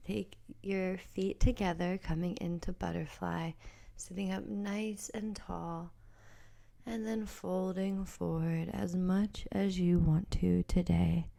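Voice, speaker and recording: Female yoga instructor, soft and breathy, dark mellow tone, lowish pitch, gentle step-by-step guidance. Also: steady tone, slow pace. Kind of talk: guided yoga class instruction.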